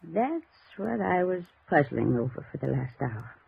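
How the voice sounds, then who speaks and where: An actress playing a dying old aunt in a 1950s radio drama, folksy, chatty and chiding. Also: female voice, low voice, big pitch swings, measured pace, creaky, husky, no room sound, heavily acted. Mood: gently reproachful. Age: older. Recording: tinny old radio recording with music.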